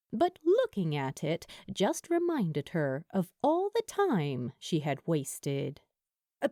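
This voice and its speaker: A woman reading scripted fiction as an audiobook narrator, with an expressive, measured storytelling manner and deliberate pauses. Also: medium pitch, dry recording, studio quality.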